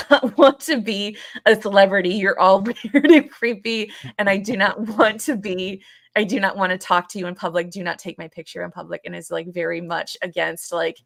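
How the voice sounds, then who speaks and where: A woman co-hosting a podcast in casual discussion, thinking aloud in a hesitant, halting way. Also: fairly low pitch, vocal fry, dry recording, clean studio sound. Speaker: podcast co-host.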